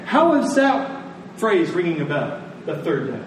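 A man preaching a sermon in a conversational, deliberate way, telling stories and explaining scripture, pausing and repeating phrases for emphasis. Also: fairly high pitch, wildly swooping pitch. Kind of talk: sermon with storytelling and scripture exposition. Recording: echoey room, distant mic, faint noise.

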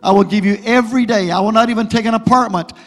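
A middle-aged man preaching a sermon to a congregation, repeating phrases and building to loud, rising exhortation. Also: high-pitched, fast. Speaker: guest preacher. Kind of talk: pentecostal sermon with prayer. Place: church sanctuary. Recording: long reverb of a church hall.

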